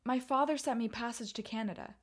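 A woman's voice: on the high side, fairly quick, close to an everyday voice. An actress playing a young pregnant woman in love in a radio play, insistent, hopeful and stubborn. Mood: excited, determined.

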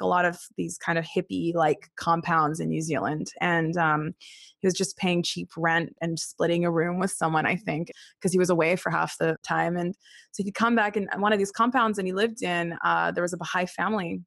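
A woman's voice: fairly low pitch, creaky, a bit bright, no room sound. A young woman from Australia, telling her family story as an interview guest in a chatty, rambling way with frequent laughs.

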